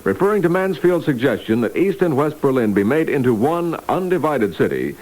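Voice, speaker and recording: A male news anchor from 1960s radio reads scripted copy. His mid-pitched voice swings widely in intonation, on a vintage AM aircheck recording. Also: very animated, a little fast, darkish tone, rough recording.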